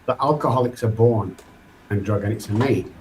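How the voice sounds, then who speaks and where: A man's voice: lowish voice, a little fast, a little mellow, rough recording. Mancunian man telling his recovery story. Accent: Mancunian English. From England. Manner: earnest, loose storytelling with restarts. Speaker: podcast guest. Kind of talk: candid personal recovery story. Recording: faint background noise.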